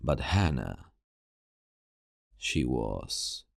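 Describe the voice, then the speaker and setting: A man playing a gruff, world-weary zombie apocalypse survivor in an ASMR roleplay, guarded and urgent, terse and hushed, with a gravelly voice. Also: very deep voice, very animated, very slow, no echo, studio quality, heavily acted. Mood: weary, reflective. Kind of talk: ASMR roleplay acting, addressing the listener.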